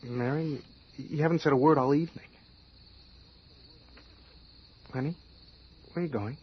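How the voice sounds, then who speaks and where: An actor playing a worried, domineering husband in an old-time radio drama, insistent and prone to stammering. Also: male voice, a little high, fairly quick, slightly rough. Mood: anxious, coaxing. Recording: muffled, noisy old radio broadcast.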